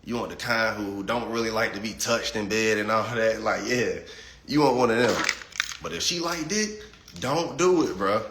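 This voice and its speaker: A man's voice: lowish voice, moderate pace, vocal fry, fairly bright tone, reverberant, slight background noise. A man in his late twenties talking bluntly and profanely on a casual livestream. He reads chat comments and often repeats words as he starts a thought. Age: 20s. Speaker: livestream host reading chat.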